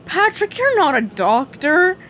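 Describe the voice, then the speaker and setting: A woman voicing a feisty, no-nonsense female squirrel who talks brisk and bossy. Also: extremely high pitch, sing-song, fairly bright tone, dull audio, a little hiss or hum, pitched above the performer's own voice, heavily acted. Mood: scolding.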